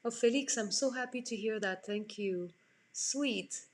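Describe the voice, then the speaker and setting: A woman's voice: fairly high pitch, measured pace. A woman in her forties from France, chatting casually with viewers as she draws in a live online class at home. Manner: chatty, answers viewer comments. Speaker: art instructor hosting a live stream. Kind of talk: casual chat while drawing. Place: home room, indoors.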